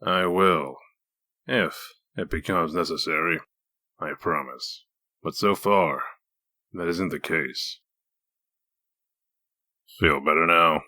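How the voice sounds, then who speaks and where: A man playing a gruff, sardonic wolf detective in human form, dry and needling, in a comic dub. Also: very deep voice, slow, long pauses, sharp tone, dry recording, exaggerated voice. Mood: calm, reassuring. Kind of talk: comic dub dramatic acting.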